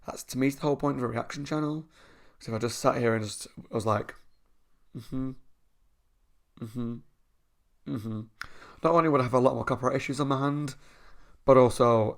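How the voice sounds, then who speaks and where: Croaky, gravelly man chatting. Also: medium pitch. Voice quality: croaky, sore from screaming. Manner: chatty, talks a lot, laughs often. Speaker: reaction channel host. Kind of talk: casual reaction commentary. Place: at home.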